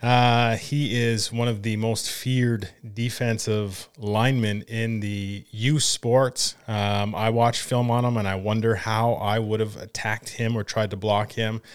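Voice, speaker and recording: Male sports host, smooth and clear, informal buddy style. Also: fairly low pitch, flat delivery, unhurried, hardly any pauses. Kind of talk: casual sports talk and interviewing.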